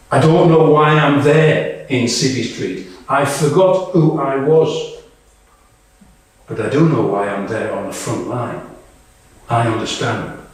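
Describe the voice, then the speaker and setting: A man performing a dramatic monologue as a candid, war-weary woman soldier, with deliberate, emphatic phrasing and pauses. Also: mid-range voice. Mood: weary, resolute. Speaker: actor reading a monologue. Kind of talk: live dramatic monologue reading. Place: small live reading indoors. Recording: echoey room, distant microphone.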